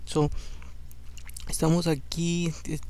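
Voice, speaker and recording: Man, spanish native, bible podcast host, raspy fry, monotone delivery, casual asides. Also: on the high side, slow. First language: Spanish, English second. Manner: conversational, slips English words in. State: tired late at night. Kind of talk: reading scripture aloud with informal commentary.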